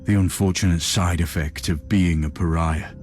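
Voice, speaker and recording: Game hero: devout warrior inquisitor, male actor, deep, solemn, resolute, light music underneath. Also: fairly even intonation, moderate pace, a little mellow, lightly acted. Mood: solemn, reflective. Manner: solemn, stern, formal. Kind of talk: dramatic video game cutscene acting. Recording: music behind the voice.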